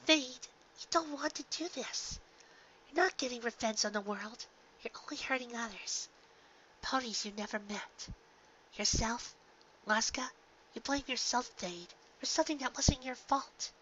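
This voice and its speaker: A woman voicing a gentle, grieving young pony mare in a fan audio drama, with a soft, earnest, emotional delivery. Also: on the high side, measured pace. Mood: gently pleading. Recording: dull lo-fi home recording, clear background noise.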